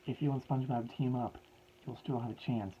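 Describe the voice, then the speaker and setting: A man's voice: medium pitch, steady tone, brisk, warm tone, lo-fi sound, slight background noise, lightly acted. A man voicing a grieving middle-aged male scientist who pleads in a raised, strained voice. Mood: urgent.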